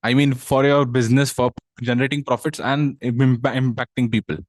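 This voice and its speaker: Young man, mid-pitched, dry close sound, hesitant audience questioner. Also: little pitch movement, brisk, a little mellow, no room sound. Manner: hesitant, uses Hindi "ki" as a connector. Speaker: audience member asking a question.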